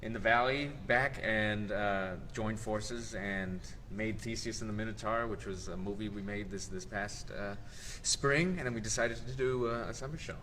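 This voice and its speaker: A man's voice: fairly low pitch, normal speed. A young man from South Texas, a theater director, talking in a casual interview with lots of laughs and restarts. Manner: animated, laughs often, fond of hesitations. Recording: faint background noise.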